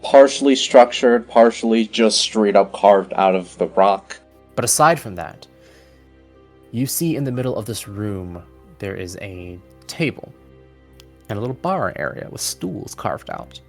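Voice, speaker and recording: A man's voice: fairly low pitch, lively intonation, measured pace, a little mellow. A man running a tabletop fantasy game as game master, describing scenes in a conversational way. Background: music.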